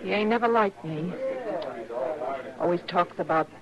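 A woman's voice: lowish voice, a little slow, natural voice. An actress playing a troubled, earnest ranch stepmother with a plaintive, folksy delivery in an old radio western. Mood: troubled, pleading. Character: troubled, pleading young stepmother on a frontier ranch. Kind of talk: 1950s radio western acting. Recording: muffled old radio, crowd behind.

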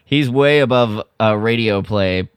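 A man's voice: fairly low pitch, unhurried, clear, resonant tone. A man chatting casually on a podcast.

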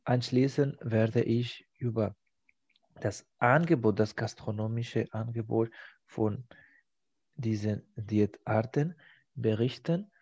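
A man hosting a language-learning podcast, speaking as a learner. He works through a mock exam talk, halting and searching for words. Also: medium pitch, a little mellow, breathy edge, highs cut off. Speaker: podcast host.

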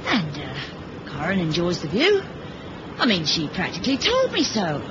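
A woman's voice: fairly low pitch, huge pitch swings, unhurried. A woman playing a tough, possessive British agent in a 1970s radio drama, teasing and sarcastic with a British accent. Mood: jealous, arch. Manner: needling, sarcastic. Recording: muffled old radio recording, clear noise.

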